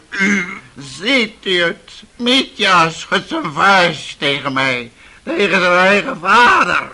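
Gravelly, histrionic old schemer of a father. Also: fairly high pitch, pushed and bright, heavily acted. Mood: outraged. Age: older. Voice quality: hoarse, gravelly. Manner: histrionic, mock-pious, accusing. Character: scheming, theatrical old father, buffoonish and quarrelsome. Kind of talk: radio drama acting.

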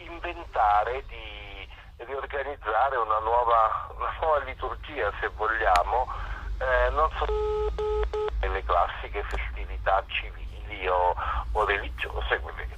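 A man giving a radio interview as a musician guest, explaining things haltingly as he searches for words, over a phone. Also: on the low side, bright, forceful tone, slight background noise. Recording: dull, band-limited phone-interview sound.

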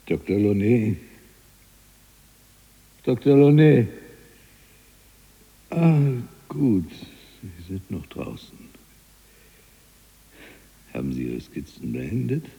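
An actor playing a learned, fearful prisoner in a brooding radio drama monologue, his husky, breathy voice halting and given to whispers. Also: sits low, huge pitch swings, muffled recording, heavily acted. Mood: calling out, then weary, brooding.

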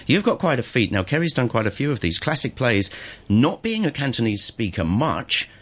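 A man's voice: mid-range voice, very animated, normal speed, a bit bright. A male radio host interviewing a guest in a studio, asking chatty, curious questions.

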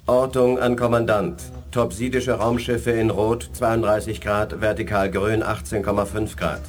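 An actor playing a disciplined spaceship officer in a radio play, giving clipped, matter-of-fact reports. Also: male voice, on the low side, fairly even intonation, a little slow, soft background music, natural voice. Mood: businesslike. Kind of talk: 1980s German radio play acting.

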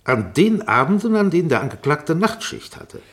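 An actor playing a sharp, sarcastic defense barrister in a radio courtroom drama, pressing, insinuating and commanding. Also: male voice, on the high side, very animated, moderate pace. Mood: controlled, probing. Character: sharp, sarcastic male defense barrister cross-examining a witness.

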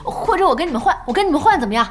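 An actress playing a brisk, spirited internet cafe owner in an audio drama. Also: female voice, sits high, animated, over music. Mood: persuasive. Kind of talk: Chinese audio drama acting.

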